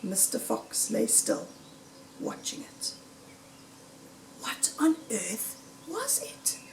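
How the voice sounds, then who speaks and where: A woman reading a children's story aloud in a deliberate, dramatic, suspenseful storytelling manner. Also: a little high, wildly swooping pitch, gravelly, slight background noise. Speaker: storybook reader. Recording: roomy echo, distant microphone.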